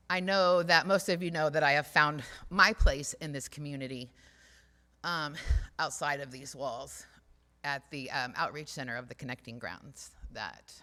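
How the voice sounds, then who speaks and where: A woman speaking earnestly and haltingly to a church congregation, in an informal, heartfelt reflection, repeating phrases for emphasis. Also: deep voice, bright, forceful tone. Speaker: speaker at a church service, community outreach worker. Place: large church space during a livestreamed service. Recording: reverberant hall sound.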